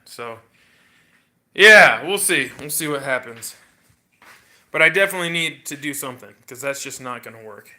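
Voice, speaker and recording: A congested man casually chatting on a livestream as host, showing his workshop and rambling in a relaxed way with slang. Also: fairly high pitch, moderate pace, long pauses. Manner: rambling, laid-back, with fillers and slang. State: congested and coughing. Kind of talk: casual livestream chat while showing a build. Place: home workshop or garage.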